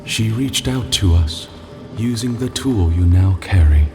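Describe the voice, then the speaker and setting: A man's voice: on the low side, expressive, measured pace. An actor playing a grave, ancient male spirit in a video game, stately and ominous, speaking with heavy reverb. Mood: solemn. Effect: long reverb. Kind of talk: video game character acting. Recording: long cavernous reverb, music behind. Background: music.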